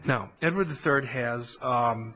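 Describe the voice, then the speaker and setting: A man giving a conversational history lecture on a podcast, telling it as an animated story with colloquial asides. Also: medium pitch, takes it slowly, slight background noise.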